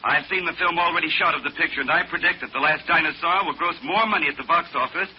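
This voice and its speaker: An actor playing a self-assured Hollywood radio gossip broadcaster, punchy and emphatic in 1940s style. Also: male voice, a little high, fast talker, breathy edge. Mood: upbeat, punchy. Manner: punchy, emphatic, scolding at times. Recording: dull, lightly noisy 1940s radio recording.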